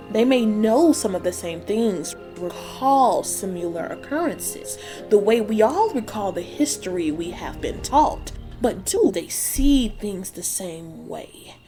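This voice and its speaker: A woman playing an elderly, knowing witch in a dark fairy tale audiobook, speaking in measured, cryptic and solemn storytelling. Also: mid-range voice. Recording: music behind the voice. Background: music.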